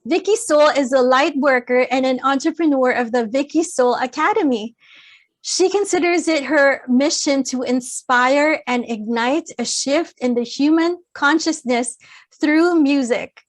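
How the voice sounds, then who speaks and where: A female talk show host with a high, bright-pitched voice reads out a formal guest introduction. She moves on to her questions in the same polite, carefully scripted manner. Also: measured pace.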